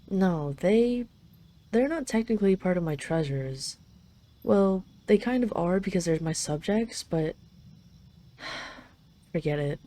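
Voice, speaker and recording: A woman's voice: lowish voice, warm tone. A woman voicing a haughty dragon queen in an intimate roleplay drama, imperious and teasing, possessively fond of her captive. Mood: proud, possessive. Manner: imperious, teasing, intimate, slightly flustered when admitting affection. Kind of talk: roleplay audio drama addressed to the listener.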